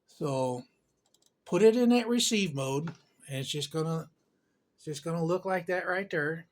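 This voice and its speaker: A man with a somewhat higher-pitched voice walks through a hands-on tech tutorial. He talks casually and folksily, rambling a little as he goes and using loose, informal grammar. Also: unhurried. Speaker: tutorial presenter.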